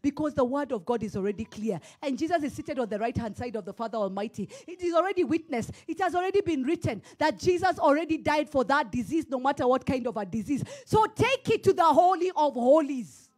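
High-voiced Kenyan woman preaching passionately. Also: lively intonation, fairly quick, hardly any pauses. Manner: impassioned preaching, swinging from loud declarations to hushed storytelling. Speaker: pastor. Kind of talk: sermon. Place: church hall, indoors. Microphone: handheld microphone.

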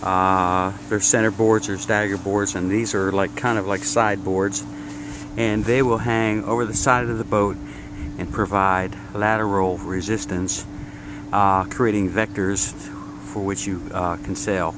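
A man narrating his homemade build in a casual, halting way, filming outdoors in a backyard. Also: fairly low pitch, steady tone. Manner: halting, many uh fillers, matter-of-fact. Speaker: DIY builder. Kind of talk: casual show-and-tell narration. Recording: noisy outdoor camera audio. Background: wind and outdoor ambience.

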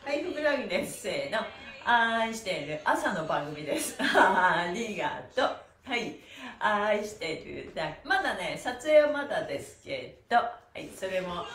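Middle-aged woman, stretching instructor, cheerful, very lively intonation, sing-song cues, echoey room. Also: mid-range voice, moderate pace, a little hiss or hum. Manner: cheerful, animated, laughs often, chanting cues in a sing-song. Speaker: stretching instructor and mime teacher. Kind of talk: livestreamed stretching lesson with rhythmic counting chants. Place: small indoor room with bare walls. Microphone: camera a few steps away. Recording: roomy echo, faint music.

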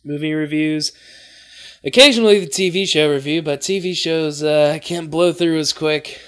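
Young man, casual online reaction host, slightly higher-pitched voice, talking at his desk. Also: normal speed, fairly bright tone, clear, resonant tone. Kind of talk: casual reaction commentary to camera. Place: small bedroom indoors.

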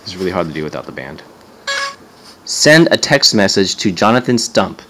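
A man's voice: low voice, very animated, normal speed, noisy background. A man giving a casual hands-on gadget demo as a reviewer, offhand and sardonic, swearing and sounding exasperated.

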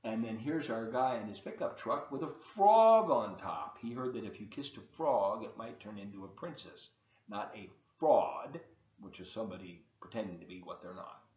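Male, 70s, folksy children's story reader, lively intonation, roomy dull home webcam sound. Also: lowish voice, normal speed. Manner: folksy, expressive, addressing young listeners. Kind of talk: children's read-aloud with chatty asides. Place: open living room and kitchen, indoors. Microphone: webcam at desk distance.

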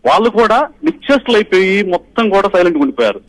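Rapid, high-voiced man pleading urgently. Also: sing-song, low-quality recording. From Andhra Pradesh. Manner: urgent, pressing, emphatic retelling. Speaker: caller, party polling agent. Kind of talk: phone-in testimony to the media. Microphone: phone call.

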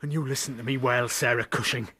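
A man's voice: mid-range voice, steady tone, fast talker, sharp tone, breathy edge. An actor in a radio drama playing a bitter, jealous, hard-drinking husband confessing to murder, brooding as he tells it. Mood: jealous anger. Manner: brooding, confessional storytelling that breaks into confrontation.

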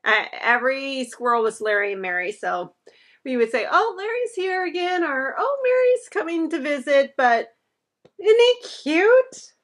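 A woman's voice: high-pitched, lively intonation, a little slow. A woman in her thirties chatting enthusiastically to the camera as she unboxes home decor in her living room, heard through a camera across the room. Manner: chatty and enthusiastic, gushing over items. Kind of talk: casual unboxing chat to camera.

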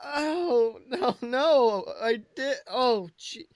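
A woman's voice: a little high, animated, very slow, darkish tone, no room sound. A woman podcast co-host in casual, joking conversation.

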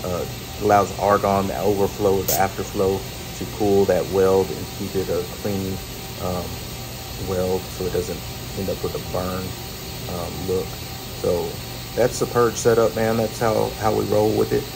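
A man's voice: deep voice, flat delivery, measured pace, vocal fry, over music, low-quality recording. A middle-aged American man narrating a casual how-to as a welder, in a laid-back, folksy way. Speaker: welder hosting a tutorial.

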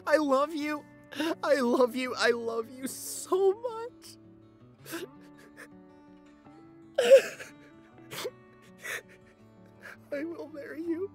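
A man playing a loving, sentimental vampire boyfriend in a romantic audio roleplay, speaking tenderly and haltingly with open emotion. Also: extremely high pitch. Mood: overjoyed, tearful. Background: background music.

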